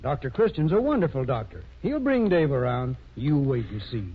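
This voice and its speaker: Earnest family friend, mid-pitched, plain-spoken, reassuring, 1930s radio acting, dull scratchy recording. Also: male voice, very animated, moderate pace, husky. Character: earnest, helpful small-town male family friend. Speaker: radio drama actor.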